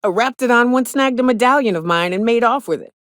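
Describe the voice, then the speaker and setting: An actress playing a hard-drinking frontier hunter and guide in a video game, blunt and wry, with folksy speech. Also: female voice, on the high side, fast, fairly bright tone, no echo, studio quality, lightly acted. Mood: grim, knowing. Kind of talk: video game companion dialogue acting.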